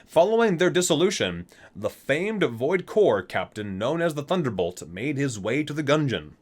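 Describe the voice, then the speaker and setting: A man's voice: a little high, sing-song, normal speed, a little mellow. A man reading aloud in an ASMR style with casual asides, in an enthusiastic, geeky and chatty manner. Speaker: video host reading aloud from a book.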